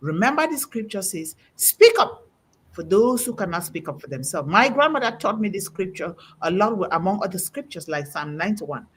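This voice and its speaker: Husky, animated woman preaching with emphasis. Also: medium pitch, moderate pace. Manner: emphatic, quotes scripture with rising emphasis. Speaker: host. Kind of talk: preaching-style talk on a panel.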